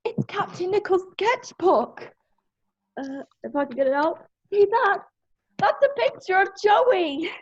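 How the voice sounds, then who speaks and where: Casting: earnest farm boy read by a woman, high voice, amateur drama reading, slightly muffled audio. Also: animated, a little slow. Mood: excited. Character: earnest young farm lad, son of the family. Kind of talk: amateur screenplay read-through.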